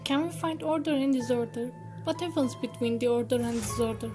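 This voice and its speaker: Young woman, high voice, shy careful delivery, faint background music. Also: brisk. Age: young adult. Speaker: channel creator and student. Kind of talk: educational explainer and chat to viewers.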